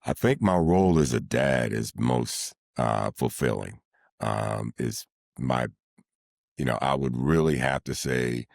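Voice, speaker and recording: A middle-aged man, a sports announcer, speaking in a deliberate, formal and wordy way in an interview. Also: a bass voice, lively intonation, creaky, dry recording, studio quality. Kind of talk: measured, formal interview talk.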